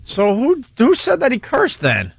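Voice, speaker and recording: A man's voice: extremely high pitch, huge pitch swings, a little slow, vocal fry. A man hosting a radio show with casual comedic banter, mocking and incredulous in manner. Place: radio studio.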